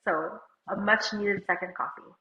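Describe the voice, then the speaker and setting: A chatty woman with a slight cold hosts a relaxed talk, her voice a little muffled and dull. The room around her is roomy and echoey. She talks easily, with lots of "like" and light asides. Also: mid-range voice, moderate pace. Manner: chatty, uses "like" often, laughs lightly. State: has a cold. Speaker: podcast co-host and company CEO. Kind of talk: casual chat. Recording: roomy, somewhat dull sound.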